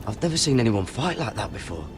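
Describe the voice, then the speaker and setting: A man's voice: lowish voice, big pitch swings, fast talker. An actor playing an earnest young man sent north as an envoy, asking questions eagerly. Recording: game audio with music score.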